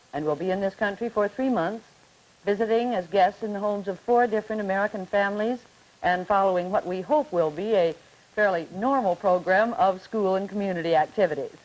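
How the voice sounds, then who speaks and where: A woman moderating a 1950s television discussion, asking students brisk, polite questions on old television audio. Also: medium pitch, a little mellow, low-quality recording.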